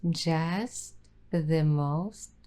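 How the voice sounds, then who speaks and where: A woman hosting an ASMR roleplay, talking softly and slipping into whispers now and then. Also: low-pitched, extremely slow. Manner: soft-spoken, slipping into whispers. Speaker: ASMR host.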